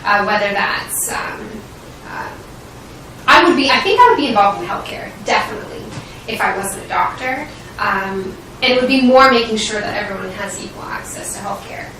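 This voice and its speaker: A woman's voice: medium pitch, expressive, normal speed, sharp tone. A young woman from Washington State with an American accent, giving earnest, hesitant answers as a medical student in an interview. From Washington State, United States. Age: young adult. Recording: roomy echo, distant mic, faint noise.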